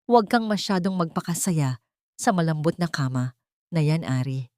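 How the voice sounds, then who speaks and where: A woman's voice: low voice, lively intonation, moderate pace, darkish tone, dry recording, studio quality. A woman voicing a cruel, cold rich young woman in an audio drama, threatening and taunting.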